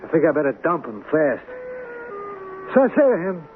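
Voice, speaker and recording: An actor playing a wary, skeptical small-time hustler with a colloquial, wisecracking manner in a 1970s radio drama. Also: male voice, a little high, very animated, moderate pace. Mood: nervous. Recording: noisy, narrow-band old radio recording.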